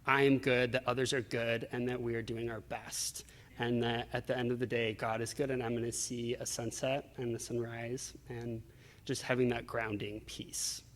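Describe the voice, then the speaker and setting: A man's voice: medium pitch, flat delivery, moderate pace, fairly bright tone. A young adult man speaking casually and conversationally as a guest in an interview. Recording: long reverberant hall echo.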